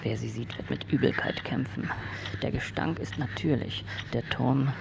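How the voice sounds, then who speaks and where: A man playing a brooding, deranged loner in an experimental radio-play monologue, speaking deliberately in halting fragments, often hushed and breathy, in a creaky voice. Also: mid-range voice. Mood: bleak, disgusted. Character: brooding, morbidly deranged male loner narrating from his tower. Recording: dull, noisy lo-fi radio-play recording.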